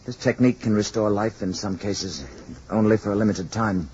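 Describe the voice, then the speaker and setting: An actor playing a grave, commanding alien emissary who speaks in a measured, formal way in a 1950s radio drama. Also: male voice, on the low side, darkish tone, close to an everyday voice. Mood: quiet, resolute. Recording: muffled, noisy vintage radio recording.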